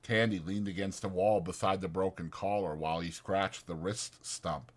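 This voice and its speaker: A man's voice: sits low, steady tone, moderate pace. A man reading a scripted story as an audiobook narrator.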